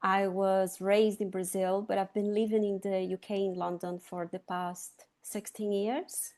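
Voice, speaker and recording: A woman's voice: mid-range voice, little pitch movement, a little slow, a little mellow. A woman in her fifties from Chile with a Chilean Spanish accent, explaining ideas step by step as an interview guest. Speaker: guest, sustainability consultant. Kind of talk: conversational interview.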